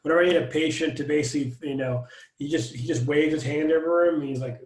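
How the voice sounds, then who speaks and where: A man's voice: on the high side, fairly even intonation, quick pace. Upbeat man on an echoey video call. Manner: upbeat, jokey, friendly banter. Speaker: residency program director. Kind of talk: casual video-call welcome.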